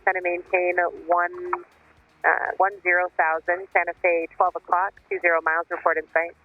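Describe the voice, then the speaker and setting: A man's voice: high-pitched, level tone, moderate pace, over music. A man working as an air traffic controller, giving clipped instructions over the radio. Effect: aviation radio. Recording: muffled radio transmission.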